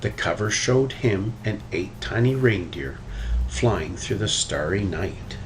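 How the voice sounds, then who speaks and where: Male storybook reader, low register, very lively intonation, some background noise. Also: slow. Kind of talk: reading a children's story aloud.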